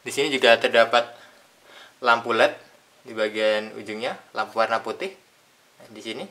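Bright-voiced man explaining a tool. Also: mid-range voice. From Indonesia. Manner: plain step-by-step explaining with pauses. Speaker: product reviewer. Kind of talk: unboxing product demonstration. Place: indoors at a tabletop.

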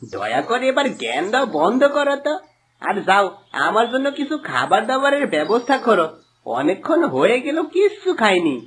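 A man playing a sly, greedy, boastful village swindler in a cartoon moral story, with an animated, coaxing manner. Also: high voice, moderate pace, exaggerated voice. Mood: dismissive. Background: background music.

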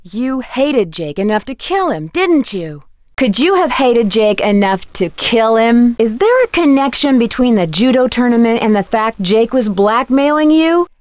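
Inquisitive, bold teen girl detective for an adventure game; animated, dry close recording. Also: on the high side, a little slow, no echo. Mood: probing. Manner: pointed, questioning.

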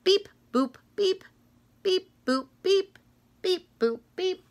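A middle-aged woman reading a children's picture book aloud like a teacher, in an expressive, rhythmic sing-song manner with drawn-out phrases. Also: very high voice. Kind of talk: read-aloud of a children's story. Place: small indoor classroom-style room.

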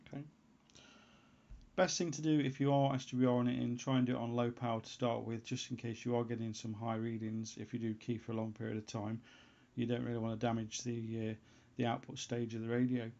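A man giving an unscripted how-to voice-over as a hobbyist presenter, in a halting way, as if thinking aloud. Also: mid-range voice, flat delivery, gravelly, a little mellow. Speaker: hobbyist presenter of a homebrew antenna tutorial. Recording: dull, lo-fi sound.

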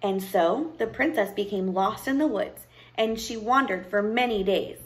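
A woman voicing a stern, knowing old grandmother who tells a fairy tale in a deliberate, probing way. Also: mid-range voice, lightly acted, poor audio. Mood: measured storytelling. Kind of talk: storybook character voice.

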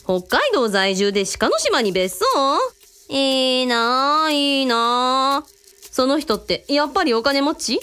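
Woman playing a playful, very high-voiced girlish sleuth. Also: expressive, sharp tone, clear, resonant tone, exaggerated voice. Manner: drawn-out, playful, quirky sentence endings. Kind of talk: radio drama dialogue.

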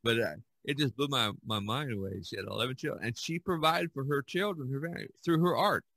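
A man in his sixties hosting a casual podcast conversation, speaking haltingly and often repeating phrases. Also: medium pitch, gravelly, a bit bright, no echo. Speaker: podcast host.

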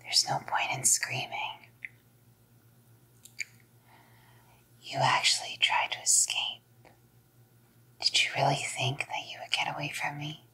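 A woman's voice: rumbling low, wildly swooping pitch, gravelly, breathy edge, exaggerated voice. Woman acting a whispering, sweetly menacing psycho girlfriend. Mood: gloating, menacing. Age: young adult. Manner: cooing, slow, softly threatening. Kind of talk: whispered ASMR roleplay. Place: small dim room indoors. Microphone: close ASMR mic.

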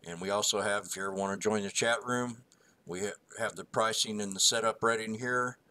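A man's voice: fairly low pitch, fairly even intonation, fairly quick, pushed and bright. A man co-hosting a stock market review, walking through chart price levels in a rambling, folksy way. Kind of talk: casual chart analysis voice-over.

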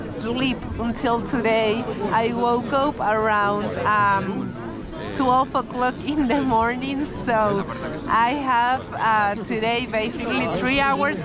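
A young woman from Venezuela with a Venezuelan Spanish accent, chatting enthusiastically to viewers as a travel vlogger. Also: on the high side. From Caracas, Venezuela. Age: young adult. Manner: exclaiming, enthusiastic. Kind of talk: casual vlog chat to viewers. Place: train station, mall and outdoor Christmas market. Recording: noisy busy public places, crowd chatter.

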